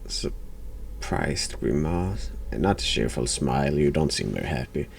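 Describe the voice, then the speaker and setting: A man streaming a horror game, giving casual reaction commentary in a halting, dry deadpan way. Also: a bass voice, gravelly, slight background noise.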